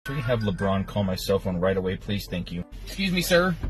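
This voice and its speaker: A man playing an exasperated, sarcastic police officer in a comedy skit, polite and deadpan, with music underneath. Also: low voice, fairly quick. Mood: polite urgency.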